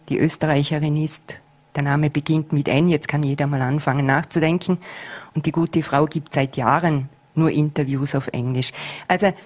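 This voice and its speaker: Low-voiced earnest woman. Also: darkish tone. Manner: earnest, measured, with many hesitations. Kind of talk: interview answers.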